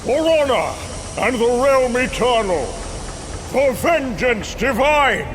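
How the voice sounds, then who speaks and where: A man's voice: extremely high pitch, animated, slow, noisy background, exaggerated voice. A man playing a noble, rousing warrior god in a motion comic, declamatory and grandiose. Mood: rousing, heroic.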